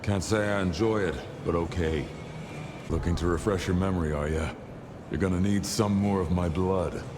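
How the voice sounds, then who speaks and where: An actor playing a gruff, laconic vampire man in a video game, with a casual, clipped way of talking. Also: deep voice, normal speed, background noise, natural voice. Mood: grudging, teasing.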